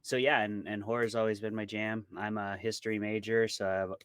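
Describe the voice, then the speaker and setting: A man's voice: fairly low pitch, level tone, normal speed, fairly bright tone, clear, resonant tone, no room sound, studio quality. A man from Montana with an American accent, chatting casually and with self-deprecating humor as an interview guest. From small town in Montana, United States. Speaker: interview guest, horror writer. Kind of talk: casual conversational interview answers.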